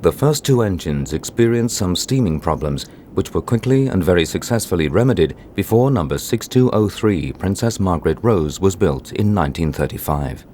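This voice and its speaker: A man's voice: deep voice, expressive, normal speed, hardly any pauses, mellow, slight background noise. A man reading scripted narration for a documentary.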